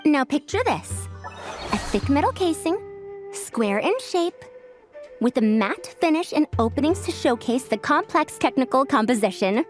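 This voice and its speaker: A woman's voice: high-pitched, big pitch swings, normal speed, soft background music. A woman voicing a proud, prickly scholar with a youthful look and an old-fashioned, pompous lecturing manner in an anime game dub. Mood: proud, emphatic.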